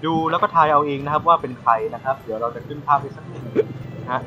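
A man's voice: a little high, quick pace, highs cut off, music in the background. A man hosting a casual live podcast, bantering and often restarting his phrases. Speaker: podcast host.

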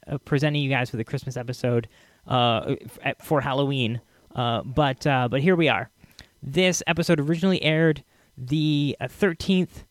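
A man with a slightly high voice hosts a podcast in a very dry recording. He chats casually and a little haltingly, addressing his listeners directly. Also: no echo. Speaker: podcast host. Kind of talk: casual podcast introduction to old radio shows.

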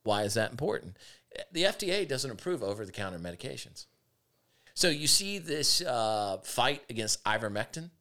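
A man with a raspy voice, hosting a podcast with opinionated, emphatic casual talk. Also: medium pitch, very animated, moderate pace, pushed and bright. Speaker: podcast host.

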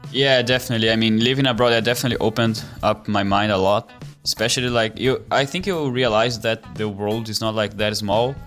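Easygoing man, lowish voice. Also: fairly even intonation, a little fast, a bit bright, faint music behind. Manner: easygoing, lots of "like". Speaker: co-host. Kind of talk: casual interview chat.

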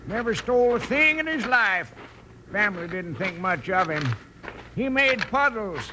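An actor playing a muddled, feisty old American grandpa, a rambling and folksy former sheriff, in an old radio western. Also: male voice, sits high, animated, a little slow. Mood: muddled, chatty. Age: older. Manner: rambling, folksy, easily indignant. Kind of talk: 1950s radio western acting. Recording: noisy old-time radio recording.

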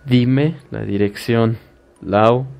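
Hombre haciendo de una madre preocupada que calma. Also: male voice, medium pitch, extremely slow, creaky, soft background music. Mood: urgent. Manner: soothing, firm, reassuring. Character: worried middle-aged mother, calming.

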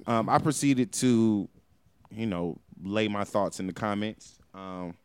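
A man's voice: fairly low pitch, slow pace, darkish tone, clean tone, no room sound. A man hosting a podcast in casual chat, telling stories animatedly and swearing freely. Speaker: podcast host.

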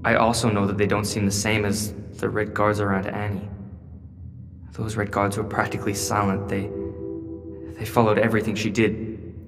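A man playing an anxious, conflicted teenage boy in an audio drama, speaking in a halting, self-questioning way. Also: on the low side, level tone, close to an everyday voice. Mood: puzzled, resolving. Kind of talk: audio drama acting with inner monologue. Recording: long reverb, clear music behind.

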